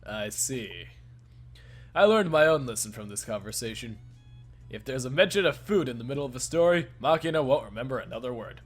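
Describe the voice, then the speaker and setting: A man reading a game's story aloud at a middle pitch, then breaking off to laugh and swear casually with his co-hosts. Faint music plays underneath. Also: expressive, fairly quick. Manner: laughs often, casual and profane between lines. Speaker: let's play host and narrator. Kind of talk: reading a visual novel aloud with commentary.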